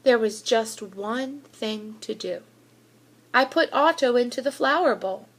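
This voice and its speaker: A woman with a high voice reads a children's storybook aloud. She takes her time, with a deliberate, animated storytelling delivery that puts expressive emphasis on the words. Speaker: school reader of children's books. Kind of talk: expressive children's picture book read-aloud.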